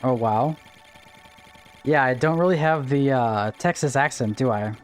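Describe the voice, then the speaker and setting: A man's voice: medium pitch, a little slow, faint music behind. A young man chatting casually on a livestream, greeting viewers and explaining game items as he plays. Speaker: streamer. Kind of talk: casual livestream chat while gaming.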